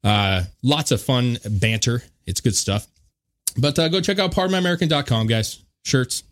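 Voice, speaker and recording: A man's voice: medium pitch, normal speed. A man hosting a podcast in loose, laid-back banter, swearing freely and chuckling between remarks. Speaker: podcast host.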